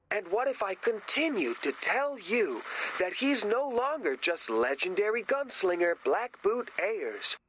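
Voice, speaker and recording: A cocky rock drummer from a video game, fronting his own show. His pitch swings widely as he promotes himself with grandiose, dramatic showmanship. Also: fairly bright tone, a little hiss or hum, heavily acted, low-quality recording. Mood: dramatic, intriguing. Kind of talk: video game voice acting as a showy TV presenter.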